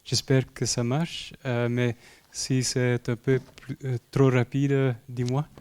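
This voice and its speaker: Man, slow pace, warm mellow tone, non-native French, hesitant presenter. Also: mid-range voice, level tone, smooth. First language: not French. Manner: hesitant, many fillers. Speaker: company founder, presenter.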